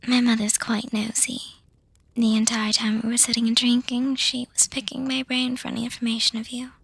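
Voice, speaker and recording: A woman voicing a young, doting, refined vampire girlfriend in an ASMR roleplay, whispering breathily with hesitant pauses and soft hums. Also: fairly high pitch, little pitch movement, gravelly, slightly rough, no room sound, low-quality recording. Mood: teasing, affectionate. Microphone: close microphone, dry.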